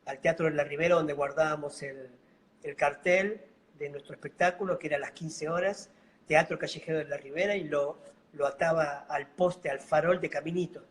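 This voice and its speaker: Gentle, unhurried Argentine man. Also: fairly high pitch, fairly even intonation, poor audio. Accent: Argentine Spanish, slightly Bolivian-tinged. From Buenos Aires, Argentina. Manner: unhurried, gentle, fond of diminutives. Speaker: guest, mime and clown actor. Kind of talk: casual interview storytelling. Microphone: video call.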